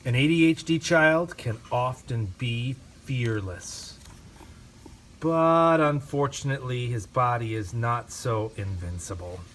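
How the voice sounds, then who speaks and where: A man reads a picture book aloud at an unhurried pace. His pitch rises and falls freely, and a faint hiss sits under the voice. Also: poor audio. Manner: gentle, expressive storytelling. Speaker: reader. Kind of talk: storybook read-aloud.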